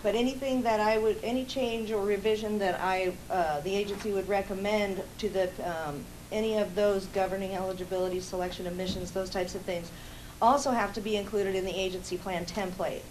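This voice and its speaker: A woman with a loud voice, a housing agency staffer presenting policy changes at a public meeting, pausing often as she goes. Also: mid-range voice, steady tone, slight background noise, low-quality recording.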